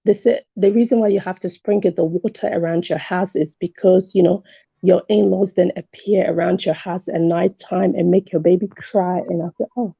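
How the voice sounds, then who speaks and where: A woman's voice: lowish voice, fairly even intonation, moderate pace, gravelly, slightly rough, no room sound. A woman calling in to a talk show, telling a personal story in a casual, conversational way and re-enacting dialogue. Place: remote call into an online show. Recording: muffled, dry call audio.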